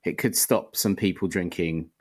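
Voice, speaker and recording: A man's voice: sits low, moderate pace, slightly rough. A British man co-hosting a casual podcast, laid-back and jokey in his delivery. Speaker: podcast co-host.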